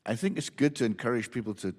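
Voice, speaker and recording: A man on a music panel answering questions and telling lively stories about his teaching and playing. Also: medium pitch, fast talker, slightly rough, big-room sound. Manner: enthusiastic storyteller. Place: symposium hall.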